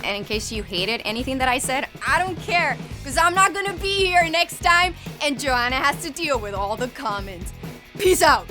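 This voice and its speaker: A woman's voice: sits high, sing-song, normal speed, over music. A woman with a Puerto Rican accent, a guest explaining in earnest, building emphasis. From Puerto Rico. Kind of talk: scripted comedic explainer.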